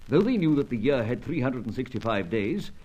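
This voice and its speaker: Urbane spy chief, animated delivery. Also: male voice, mid-range voice, a little fast, breathy edge, dull audio. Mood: measured, explanatory. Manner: gentlemanly, hesitant ums, understated and pompous. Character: urbane older British spy chief, ruthless yet jovial. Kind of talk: old-time radio serial acting.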